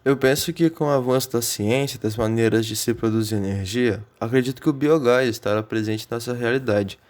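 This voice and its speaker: A man's voice: mid-range voice, a little fast, darkish tone, lightly acted. A man with a Brazilian accent playing a thoughtful student who formally recites his view in an amateur radio play. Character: thoughtful male student predicting the future. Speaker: student in class.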